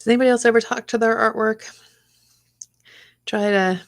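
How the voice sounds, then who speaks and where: A woman's voice: fairly high pitch, fairly even intonation, moderate pace, gravelly. A woman hosting a live craft stream, chatting casually as she works, sometimes whispering to her artwork, her voice a bit worn. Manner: chatty, talks to her artwork, drops to whispers while working. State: losing her voice, clearing throat. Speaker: craft channel host.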